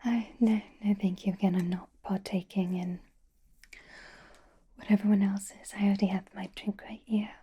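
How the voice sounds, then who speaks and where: A woman voicing a doting, possessive, elegant vampire in an intimate, coaxing, breathy whisper for an ASMR roleplay. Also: medium pitch, little pitch movement, lo-fi sound. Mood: politely dismissive. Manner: intimate, coaxing, slow and genteel.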